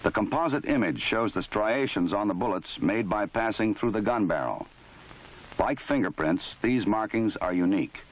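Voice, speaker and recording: A man giving formal, measured scripted narration for an old training film. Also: lowish voice, animated. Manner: measured, formal, matter-of-fact. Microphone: voice-over. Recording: dull lo-fi 1970s film soundtrack.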